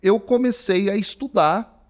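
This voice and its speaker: High-voiced Brazilian man, chatty storyteller. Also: a little slow. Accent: Brazilian Portuguese. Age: 40s. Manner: animated storyteller, many fillers like "cara". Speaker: guest, theology professor. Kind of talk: conversational podcast interview. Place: podcast studio. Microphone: studio microphone.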